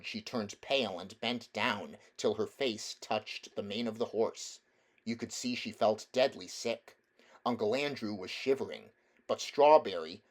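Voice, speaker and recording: A man reading a children's fantasy novel aloud as scripted storybook narration. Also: on the low side. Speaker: amateur audiobook reader.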